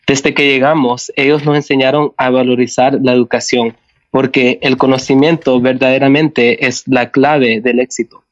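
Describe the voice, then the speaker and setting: A man from Canada, born in El Salvador and more at home in English, giving a prepared guest talk carefully, with halting slips and corrections. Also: medium pitch, level tone, no room sound. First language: English dominant, heritage Spanish speaker. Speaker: guest, mathematics teacher. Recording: dull, dry lo-fi sound.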